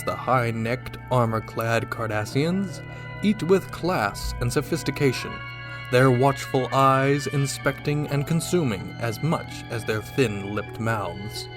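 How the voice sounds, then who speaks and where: A man's voice: medium pitch, natural voice. A man playing a portentous announcer narrating an old-time space adventure radio serial. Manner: dramatic, portentous delivery. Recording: loud music under the voice. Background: music.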